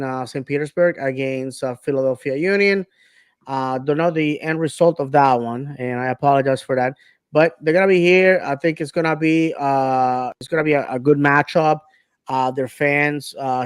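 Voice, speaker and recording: Halting man hosting a podcast. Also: mid-range voice, smooth, no room sound. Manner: halting, lots of fillers. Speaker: podcast host. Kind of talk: casual podcast chat.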